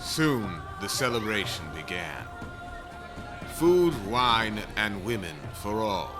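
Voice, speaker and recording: A man's voice: lowish voice, animated, slow. A man narrating an epic audio drama in a solemn, portentous, scripted storytelling style. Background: music.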